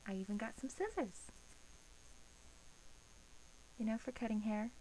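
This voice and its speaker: A woman playing a bored, teasing vampire guard in a soft-spoken ASMR roleplay, coaxing in hesitant, drawn-out phrases with an undertone of menace. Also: a little high, big pitch swings, rough recording. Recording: faint background hiss.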